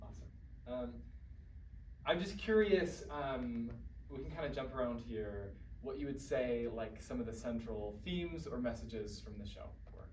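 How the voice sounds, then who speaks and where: A man's voice: medium pitch, expressive, a little slow, slight background noise. Man, discussion moderator, mid-range voice, distant mic, echoey room. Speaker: talkback moderator. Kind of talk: facilitating audience feedback discussion. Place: indoor theatre talkback, recorded at a distance.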